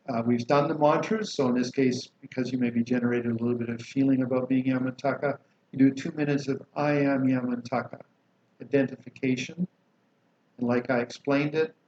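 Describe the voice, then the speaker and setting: A man teaching a Buddhist practice, calmly explaining and reciting liturgy with slow chanted mantras. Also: mid-range voice. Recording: roomy, dull lo-fi recording with faint hiss.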